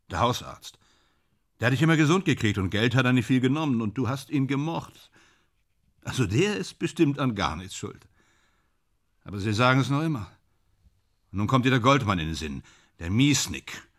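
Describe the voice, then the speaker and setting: A man's voice: mid-range voice, a bit bright. A man in a German radio play playing a sardonic, brooding film actor who drinks hard and talks bitterly and theatrically. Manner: sardonic, brooding, theatrical, swinging from muttering to outbursts.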